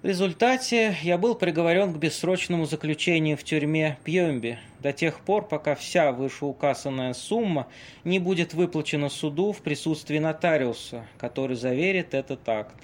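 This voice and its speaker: A man acts out a worldly, theatrical Venetian seducer for an audio play. His voice sits a little high for a man, and he delivers lines dramatically, with weighty pauses, like a seasoned storyteller. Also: natural voice. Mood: worldly, dramatic storytelling. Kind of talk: amateur audio play acting.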